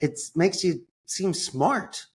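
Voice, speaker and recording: An American man speaking as an interview guest, telling personal stories in an enthusiastic, expressive way with colorful phrasing. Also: sits high, slow pace. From United States. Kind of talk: conversational personal storytelling.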